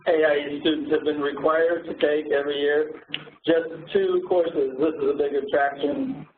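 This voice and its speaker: A man's voice: a little high, steady tone, moderate pace. A man presenting information as a program administrator. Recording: roomy, phone-like audio with faint noise.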